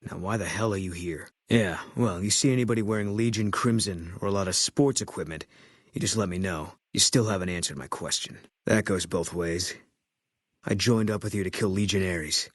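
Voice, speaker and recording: A man voicing a grim, embittered military sniper in a video game, terse and guarded, with a husky voice. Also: sits low, normal speed, no echo, studio quality. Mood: curt, hostile. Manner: terse, guarded, flat, clipped delivery. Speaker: video game companion character. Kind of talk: game voice acting, terse dialogue lines.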